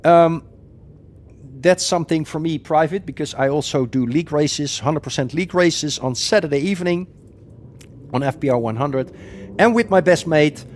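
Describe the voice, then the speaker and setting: A man's voice: on the high side, normal speed. A man from the Netherlands with a Dutch accent, chatting casually on a gaming live stream with many ums and uhs.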